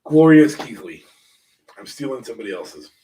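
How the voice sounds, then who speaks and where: A man hosting a podcast, chatting casually in a halting, playful way. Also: mid-range voice, expressive, mellow, a little hiss or hum. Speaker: podcast host. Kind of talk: casual wrestling fantasy booking chat. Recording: voice distant from the mic.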